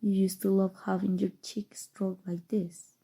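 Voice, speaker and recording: A woman playing a possessive evil sorceress in an ASMR roleplay, speaking softly and tenderly with a breathy voice that often drops to whispers. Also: medium pitch, level tone. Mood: soothing, seductive. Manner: slow, soothing, deliberate, sinister affection. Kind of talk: ASMR roleplay, intimate scripted monologue.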